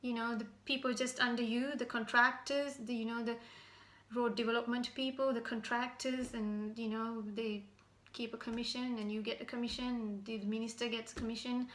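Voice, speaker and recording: A Sri Lankan woman speaks in a bright, forceful voice that sits fairly high and stays quite level. She addresses her listener directly with earnest, halting criticism, like a citizen activist. The room around her sounds echoey. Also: little pitch movement. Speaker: citizen activist giving an open message. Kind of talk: direct spoken address to camera, semi-prepared.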